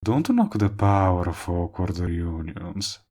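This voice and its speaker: A man's voice: low-pitched, lively intonation, darkish tone, exaggerated voice. A man playing a smug, possessive, lovestruck villain in a romantic comedy audio roleplay, talking to the listener in a sardonic, persuasive way. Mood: smug, teasing. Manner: smooth-talking, sardonic, drawn-out emphatic phrasing. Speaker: voice actor.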